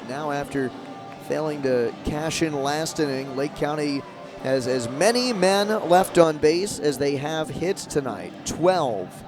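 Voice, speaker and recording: A man doing live radio play-by-play of a baseball game, describing the action in short, clipped, measured phrases. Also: a little high. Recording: clear outdoor ballpark noise behind.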